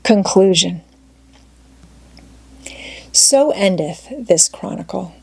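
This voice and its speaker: Female audiobook narrator, mid-range pitch, scripted classic-story reading, dry sound. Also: lively intonation, drawn-out words, vocal fry, warm tone, breathy edge, no echo.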